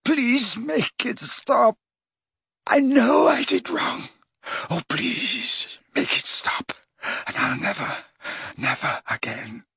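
A man voicing a tormented old wizard who begs and sobs in high, broken cries. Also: huge pitch swings, takes it slowly, vocal fry, pushed and bright, breathy edge, no echo, studio quality, heavily acted. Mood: anguished. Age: older.

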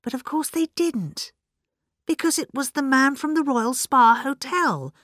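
A woman's voice: high voice, expressive, a little slow, fairly bright tone, no room sound, clean studio sound, pitched above the performer's own voice. A woman voicing a flustered, apologetic older female companion who chatters and dithers in a storybook reading. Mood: muddled, wondering. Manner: breathless, dithering, rambling.

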